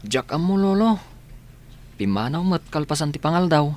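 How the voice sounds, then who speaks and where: A man's voice: a little high, expressive, moderate pace, lightly acted. A man playing a polite young student and grandson in a radio drama, easygoing, with an Ilocano accent. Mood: respectful. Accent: Ilocano Filipino.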